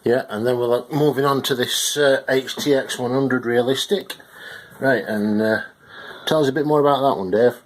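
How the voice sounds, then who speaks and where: A man's voice: mid-range voice, moderate pace. A man presenting a casual video as host and interviewer, recorded on a handheld camera. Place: small wood-panelled indoor radio bench.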